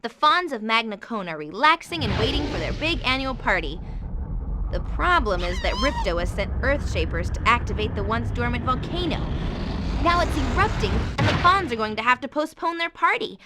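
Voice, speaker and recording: A woman playing a perky, friendly young female faun who narrates video game cutscenes in an expressive storybook way. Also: a little high, moderate pace, noisy background. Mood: concerned explaining. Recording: game music under the voice.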